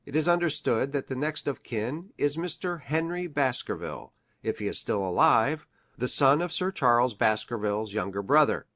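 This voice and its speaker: A man playing an earnest country doctor in an audiobook, reading aloud in a formal, deliberate way. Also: mid-range voice, expressive, fairly bright tone, lightly acted. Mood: formal, measured. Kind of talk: measured dramatic audiobook reading of formal prose.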